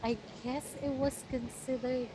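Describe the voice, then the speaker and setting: A woman from the Philippines, a native Tagalog speaker with a Batangas Tagalog accent, chatting at length over a voice call and explaining things in a relaxed way. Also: high voice, a little slow, background noise. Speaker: girlfriend on a call. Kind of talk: casual chat.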